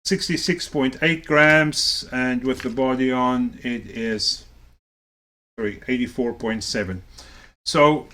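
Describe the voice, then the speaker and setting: Man with a South African-Canadian accent, mid-pitched, an easygoing organizer who rambles with fillers. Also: slow. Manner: rambling, filler-heavy, relaxed. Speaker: series organizer. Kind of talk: casual show-and-tell video.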